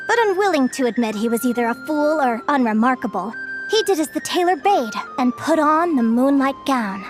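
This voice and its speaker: High-voiced, gentle young woman storyteller. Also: expressive, soft background music. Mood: storytelling, composed. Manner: measured, articulate, enthusiastic about lore. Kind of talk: storytelling in an English game dub.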